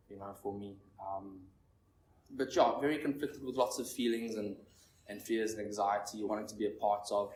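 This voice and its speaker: A nervous young man in his twenties from Zimbabwe, sharing his recovery story at a meeting in a halting, rambling way. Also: on the low side, a little mellow. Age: 20s. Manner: halting, rambling, heavy on fillers. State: nervous, shaky. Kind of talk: personal recovery share. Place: meeting room with an audience. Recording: echoey room, distant mic, faint noise.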